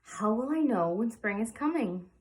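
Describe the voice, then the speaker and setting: A woman reading the part of an eager, curious little girl in a picture book, with expressive questioning. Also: fairly high pitch, normal speed, close to an everyday voice, low-quality recording.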